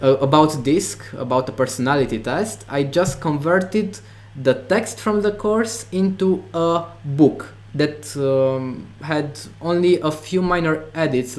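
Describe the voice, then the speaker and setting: A man's voice: mid-range voice, a little mellow. Hesitant man with a Romanian accent. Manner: hesitant, many fillers and restarts. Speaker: online course creator. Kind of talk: interview conversation.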